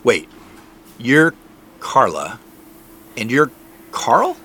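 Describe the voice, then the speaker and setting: Audio drama role: polite out-of-towner, easygoing, medium-pitched with lively inflection, clear ambient noise behind. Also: male voice, extremely slow, exaggerated voice. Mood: polite, casual. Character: polite, casual male out-of-town visitor.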